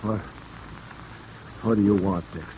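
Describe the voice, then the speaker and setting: An actor playing a wealthy, indignant broker cornered by blackmail, defiant and halting, in a 1940s radio drama. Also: male voice, lowish voice, fairly even intonation, warm tone, lightly acted. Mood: defiant, rattled. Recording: dull, noisy vintage radio recording.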